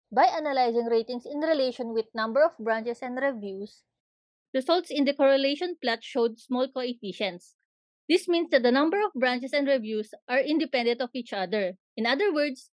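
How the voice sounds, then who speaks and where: A woman's voice: fairly high pitch, no room sound. A young woman presenting a data science project, reading steadily from a script. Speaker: student presenter.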